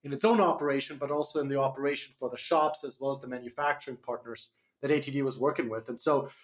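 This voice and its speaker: A man's voice: medium pitch, steady tone, fast talker. A man from Germany with a slight German accent, a startup CEO answering interview questions on radio, hesitant with many fillers and restarts.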